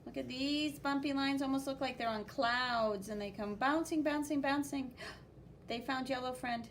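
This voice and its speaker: Woman, high voice, unhurried storytime teacher delivery, expressive with spoken sound effects, noisy recording. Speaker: art teacher reading a picture book aloud. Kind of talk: animated children's read-aloud with commentary.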